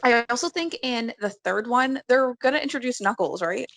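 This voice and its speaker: A woman's voice: a little high, lively intonation, normal speed, vocal fry, a bit bright, no echo. A woman chatting casually as a panelist on a movie podcast.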